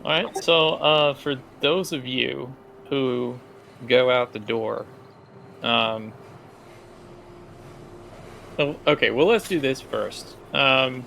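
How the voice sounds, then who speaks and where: A man narrating a tabletop role-playing game as game master, in a casual, halting, conversational way. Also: mid-range voice, creaky, pushed and bright, a little hiss or hum.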